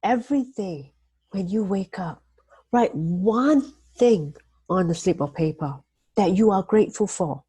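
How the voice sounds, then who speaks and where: A woman hosts a motivational talk, giving weekly tips in a gentle, measured way. Her voice is mellow and slightly breathy. The sound is dry and close, with no room echo. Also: mid-range voice. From Singapore. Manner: gentle, measured, frequent "you know" fillers.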